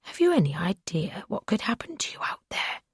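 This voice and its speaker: A woman voicing an obsessive male captor who speaks in soft, breathy whispers. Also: mid-range voice, big pitch swings, brisk, no room sound, clean studio sound, pitched above the performer's own voice. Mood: whispered menace.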